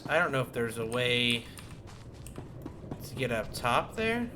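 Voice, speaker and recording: Male game streamer, mid pitch, informal running commentary, typing and noise behind. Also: sing-song, measured pace. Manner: informal, thinking aloud. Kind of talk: casual gameplay commentary, reading subtitles aloud. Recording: noisy with keyboard clatter. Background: game ambience, keyboard typing.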